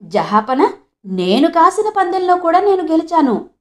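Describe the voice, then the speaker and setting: A woman storyteller voicing a witty royal minister who is respectful to his king and quietly sure of himself. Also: very high-pitched, big pitch swings, a little slow, voice pushed up, full character voice. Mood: triumphant.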